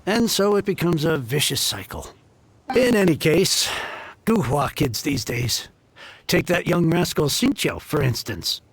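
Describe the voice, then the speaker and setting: A man's voice: a little high, sing-song, a little slow, breathy edge, dry recording, exaggerated voice. A man playing an effusive, formal heir of a fallen merchant family in a video game, speaking earnestly and wordily. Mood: surprised, disdainful.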